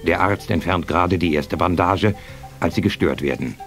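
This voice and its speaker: A man's voice: low voice, moderate pace, fairly bright tone, faint music behind. A man narrating a scripted German radio play as its storyteller.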